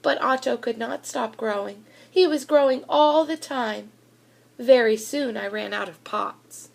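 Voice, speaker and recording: A woman with a high voice reads a children's storybook aloud. She takes her time, with a deliberate, animated storytelling delivery that puts expressive emphasis on the words. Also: vocal fry. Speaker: school reader of children's books. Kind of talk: expressive children's picture book read-aloud.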